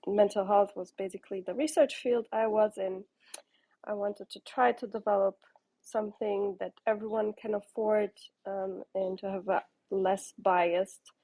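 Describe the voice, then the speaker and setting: A woman's voice: mid-range voice, fairly even intonation, measured pace, warm tone. A woman from Portugal in her thirties, a startup founder answering interview questions in a rambling way with many ums and restarts.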